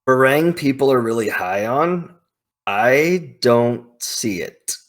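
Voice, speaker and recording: A man's voice: mid-range voice, animated, slow pace. A man hosting a video, thinking aloud in casual, opinionated commentary with emphatic, hyperbolic slang. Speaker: video host ranking game characters.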